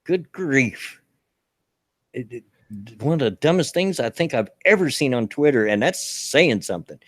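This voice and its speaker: A man's voice: mid-range voice, lively intonation, moderate pace, fairly bright tone, no echo, clean studio sound. A man with a Southern US accent chatting casually as a podcast host, stammering through restarts and chuckling often. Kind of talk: casual political chat.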